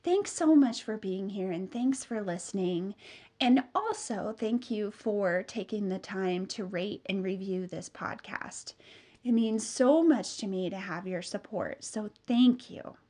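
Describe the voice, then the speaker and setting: A woman's voice: mid-range voice, lively intonation, a little slow, warm tone. A woman in her thirties hosting a solo business podcast, chatty and upbeat with many fillers, sounding a bit nasal from a cold. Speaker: podcast host and business mentor.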